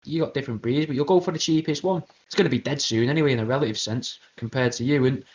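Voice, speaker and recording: A British man hosting an internet radio show, delivering a crude, rambling comedic conspiracy monologue in a deadpan way. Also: mid-range voice, fast, dull audio. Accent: British English.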